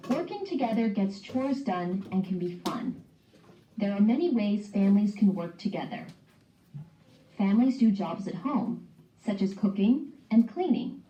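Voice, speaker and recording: A woman's voice: mid-range voice, expressive, measured pace, dark tone, recorded from a distance. A woman reading scripted educational narration for children, in the manner of a read-aloud lesson. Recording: dull, echoey playback from off mic, faint noise.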